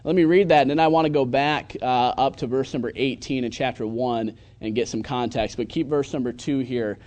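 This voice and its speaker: A man in his thirties from Michigan, a pastor preaching and praying earnestly, pausing often with filler sounds. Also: mid-range voice, steady tone, darkish tone. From Michigan, USA. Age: 30s. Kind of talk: church sermon and prayer.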